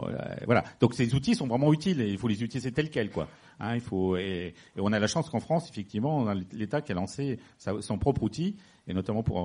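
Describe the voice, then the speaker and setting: A man's voice: sits low, warm tone, breathy edge. A man speaking on a panel who rambles, restarting his sentences and taking questions. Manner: rambling, many fillers and restarts. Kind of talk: public discussion.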